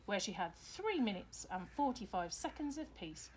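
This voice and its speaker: A woman's voice: medium pitch, very animated, normal speed, a little hiss or hum, low-quality recording. A woman reading a children's story aloud expressively, like a teacher to her pupils.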